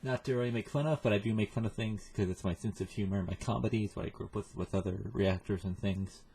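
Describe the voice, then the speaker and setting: A man hosting a reaction video with casual, halting, self-deprecating commentary in a husky voice. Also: fairly low pitch, steady tone, mellow.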